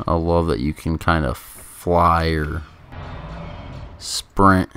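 A man giving casual, laid-back video game commentary in a halting, chatty way, close to the microphone. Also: extremely low pitch, fairly even intonation, fairly bright tone. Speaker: gameplay commentator. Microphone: microphone held very close. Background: game music.

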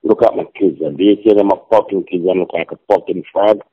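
A man's voice: deep voice, fairly even intonation, quick pace, breathy edge. A middle-aged man leaving a hostile, profane, insulting rant as a voicemail message. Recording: dull lo-fi voicemail playback.

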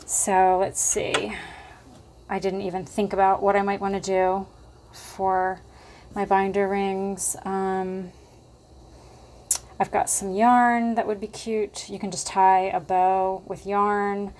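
A woman hosting a casual crafting tutorial at her table, chatty and thinking aloud with lots of fillers, her voice slightly hoarse. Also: medium pitch, little pitch movement, slow pace, a little mellow.

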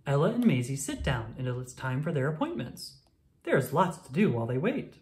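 Woman doing a kids book read aloud, lowish voice, super expressive, clear pacing for little ones. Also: fairly quick. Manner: expressive, clearly paced for young children. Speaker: storybook reader. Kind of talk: children's read-aloud narration.

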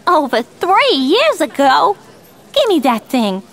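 A playful, tipsy 1950s housewife in a radio drama, teasing in a high, very animated voice. She drawls slowly, with faint music behind her. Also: exaggerated voice.

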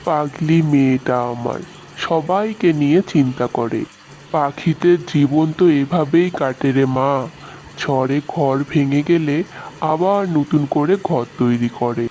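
A man playing a caring, middle-aged village fisherman father in a fairy-tale cartoon, speaking in a gentle, fatherly way. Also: on the high side, a little slow, slight background noise, lightly acted, low-quality recording. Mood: worried, tender.

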